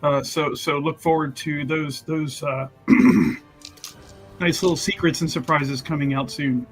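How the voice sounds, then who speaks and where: High-voiced, playful man chatting. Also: fairly even intonation, slow pace, soft background music. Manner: playful, joking. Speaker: player on tabletop roleplaying show. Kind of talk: casual chat.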